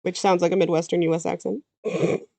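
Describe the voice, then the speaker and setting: A woman's voice: lowish voice, little pitch movement, a little fast, vocal fry, mellow, no room sound. A Canadian woman chatting casually while she draws on a livestream, thinking aloud and trailing off mid-sentence. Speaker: VTuber artist streaming her drawing.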